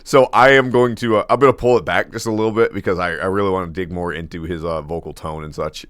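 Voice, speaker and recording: A man with a deep baritone voice reacting casually to a song, laughing often and rambling with fillers and restarts. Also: steady tone, quick pace, a bit bright, dry recording. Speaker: music reaction host.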